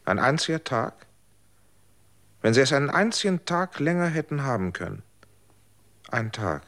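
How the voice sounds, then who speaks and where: An actor playing a tempter who keeps asking quiet, probing questions. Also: medium pitch, sing-song, moderate pace. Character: tempter offering extra time of life. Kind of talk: radio drama acting.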